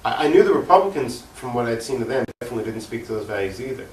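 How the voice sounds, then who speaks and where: An American man comes through a roomy, slightly distant mic with faint noise behind him. He has a medium-pitched voice and speaks in an earnest, emphatic way, like an activist answering questions in an unscripted interview. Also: expressive, racing, a little mellow. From United States. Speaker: interview guest, political activist. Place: echoey room, cable TV interview.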